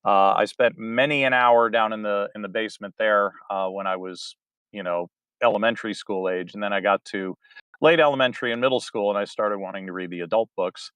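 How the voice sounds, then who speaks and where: A man's voice: fairly low pitch, fairly quick, a bit bright, smooth, no echo. A man with a West Virginian accent, a guest in a casual interview, rambling and chuckling as he talks. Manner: rambling, chuckles between thoughts. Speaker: guest, audiobook narrator. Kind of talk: casual video-call interview.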